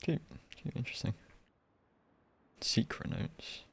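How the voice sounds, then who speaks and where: A man casually commentating on a live game stream in a low-key, musing way, sometimes reading dialogue aloud. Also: takes it slowly, slight background noise. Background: soft game music.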